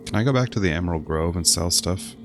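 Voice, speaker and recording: A man's voice: low-pitched, animated, fast, music in the background. A man giving casual gameplay commentary as he streams, with game sound behind him. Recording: noticeable background noise behind voice.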